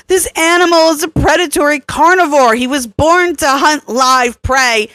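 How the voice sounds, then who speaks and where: A woman's voice: extremely high pitch, bright, forceful tone, no echo, pitched above the performer's own voice, exaggerated voice. A woman voicing an urgent, defiant young woman animal expert who pleads and scolds emphatically in a dramatic story reading.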